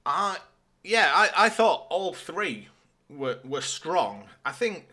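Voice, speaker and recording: A man's voice: on the high side, sing-song, slow pace, pushed and bright. A man co-hosting a podcast in casual, conversational chat. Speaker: podcast co-host.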